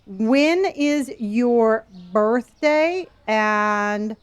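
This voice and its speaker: A woman in her sixties talks with her stream audience in a loose, chatty way. She reads comments aloud and restarts sentences often. Her voice sits a little high for a woman. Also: animated, very slow, clear, resonant tone. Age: 60s. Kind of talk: casual live-stream chat with viewers.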